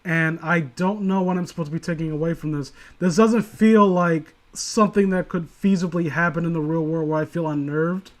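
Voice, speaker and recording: A man with a fairly high voice hosts a casual reading stream. He gives critical commentary in a meandering, stop-and-start way. His language is loose and occasionally profane. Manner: rambling, halting, profane. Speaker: host of a story reading stream. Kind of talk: casual critical chat on a livestream.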